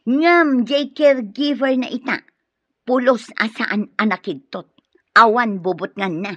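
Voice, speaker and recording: A woman's voice: fairly high pitch, unhurried, no room sound. An actress with an Ilocano Filipino accent playing a scheming, impatient, money-hungry woman in a radio drama. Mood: irritated. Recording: dull lo-fi radio drama, music underneath.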